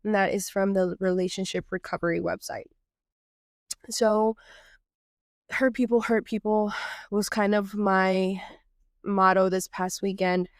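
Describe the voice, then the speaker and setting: A woman's voice: mid-range voice, steady tone, unhurried, pauses often, no room sound, studio quality. A woman from Texas hosting her own podcast, sounding nasal from a cold and talking in an earnest, reflective way with loose, improvised phrasing. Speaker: podcast host.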